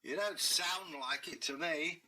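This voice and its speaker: A man's voice: a little high, a little slow, pushed and bright, a little hiss or hum, voice pushed up, poor audio. A man voicing a streetwise, confident male cat, talking in a laid-back, cheeky way.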